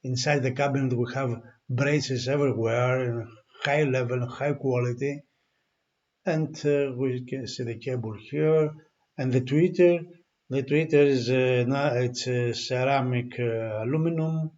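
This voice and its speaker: Man walking through a speaker, halting, lo-fi. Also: medium pitch, fairly even intonation, darkish tone. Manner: halting, many fillers and restarts. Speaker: audio product reviewer. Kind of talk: unscripted product walkthrough.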